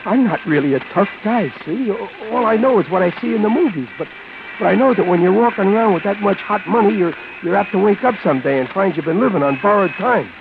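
A man's voice: high-pitched, animated, quick pace, vocal fry, background noise. An actor playing an ordinary, nervous wisecracking guy in a 1940s radio crime drama, with an American accent and slangy, stammering delivery. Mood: anxious. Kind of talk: 1940s radio acting, hardboiled first-person narration. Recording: tinny old radio broadcast with loud music.

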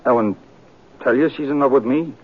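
A man's voice: mid-range voice, a little fast, natural voice. Guy doing a hotheaded american cowboy, stubborn and proud, thin tinny old radio western. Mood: stubborn, heated. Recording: tinny vintage radio, faint noise.

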